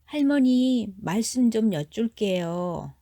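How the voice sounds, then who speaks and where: A teenage fairy-tale princess, played by a woman reader, gentle and earnest. Her voice is high and plaintive, captured close and dry. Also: expressive, slow, no room sound, heavily acted. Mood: polite, eager. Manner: polite, plaintive. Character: gentle, earnest fifteen-year-old princess, sweet and plaintive. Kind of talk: storybook character voice.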